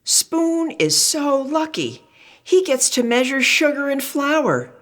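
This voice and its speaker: A woman voicing a wistful, envious kitchen utensil in a storybook, with a drawn-out, admiring tone. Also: high-pitched, sing-song, a bit bright, pitched above the performer's own voice, full character voice. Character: wistful utensil friends, envying an easygoing pal.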